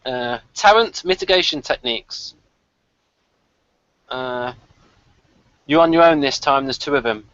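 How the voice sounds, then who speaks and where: A man's voice: mid-range voice, lively intonation, measured pace, long pauses, a bit bright, no room sound. A man hosting a casual review discussion of a television series. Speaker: podcast host reviewing a TV series. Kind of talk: casual review chat with read-out notes. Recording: dull, slightly lo-fi audio.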